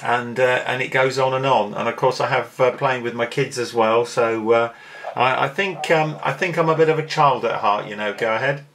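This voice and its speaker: A man's voice: medium pitch, moderate pace, fairly bright tone, a little hiss or hum. A middle-aged man with an English accent, chatting in an easygoing way over amateur radio. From England. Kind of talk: casual radio contact chat.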